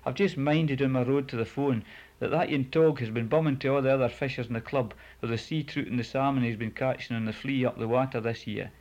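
An exasperated Scottish bailiff scolding his companion in a brisk rush of words, voiced by a male storyteller. It sits close to the narrator's own plain voice. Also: medium pitch, fairly even intonation, darkish tone, lo-fi sound, lightly acted. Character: exasperated middle-aged bailiff man.

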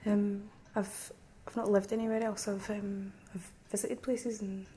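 Student, 20s, Glaswegian, warm low-key tone, halting and talkative. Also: female voice, little pitch movement, a little hiss or hum. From Glasgow, Scotland. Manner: chatty, hesitant with fillers and restarts, laughs easily. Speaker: university student. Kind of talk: casual chat.

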